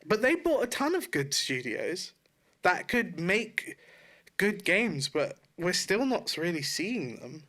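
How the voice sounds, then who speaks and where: A man's voice: high-pitched, sing-song, slow pace, sharp tone. A man co-hosting a podcast in casual chat, talking informally and correcting himself as he goes. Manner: informal, sweary, self-correcting. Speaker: podcast co-host.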